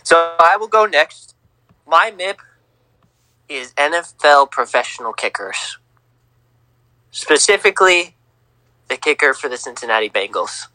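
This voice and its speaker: A young man co-hosting a casual sports podcast, teasing and lively, on a home mic. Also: fairly high pitch, unhurried, pauses often, no echo, poor audio. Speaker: podcast co-host.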